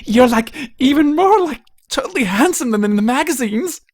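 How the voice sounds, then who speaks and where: A star-struck little filly from a storybook, acted out by a man. The voice is pushed very high and swoops about wildly. She gushes in breathless valley-girl fashion, in a dry close recording. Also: animated, moderate pace, dry recording. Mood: squealing excitement. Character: gushing, squealing young filly pony, star-struck girl.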